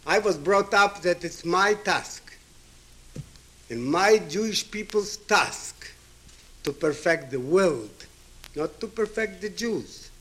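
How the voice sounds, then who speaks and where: A man in his seventies from Ukraine who grew up with Hebrew and Yiddish delivers a reflective address. His voice sits fairly high for a man and moves very slowly. He is emphatic, repeating key phrases and posing questions to his listeners. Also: expressive, a bit bright. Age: 70s. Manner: emphatic, with rhetorical questions and repetition.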